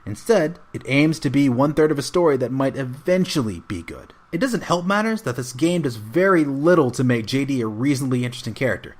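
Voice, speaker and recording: A man's voice: medium pitch, animated, brisk, few pauses. A man narrating a scripted video game review as a voice-over.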